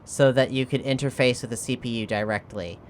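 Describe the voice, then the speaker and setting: A woman playing a tabletop role-playing game, improvising casually and thinking aloud in halting phrases. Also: rumbling low, a little hiss or hum.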